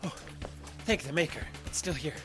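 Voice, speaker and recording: A man's voice: on the high side, animated, moderate pace, music in the background, lightly acted. A man playing a stubborn, evasive wounded fugitive in an audio drama, speaking in a defensive way. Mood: insistent.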